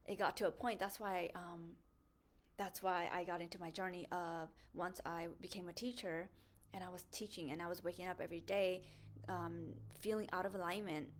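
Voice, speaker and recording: Young woman, slightly low voice, reflective personal monologue, earnest, pauses and restarts often. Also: steady tone. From born in a refugee camp in Thailand, Hmong, raised in the United States. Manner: earnest, thoughtful, with frequent fillers and self-corrections. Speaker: host of a faith podcast.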